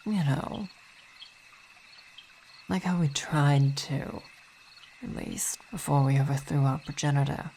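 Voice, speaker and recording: Very low, slow vampire wife voiced by a woman. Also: a little hiss or hum, exaggerated voice. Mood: wistful, affectionate. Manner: measured, hesitant, formal wording. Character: vampire wife, weary coven commander, tender yet imperious. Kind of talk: intimate ASMR roleplay acting. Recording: steady night insect ambience behind voice.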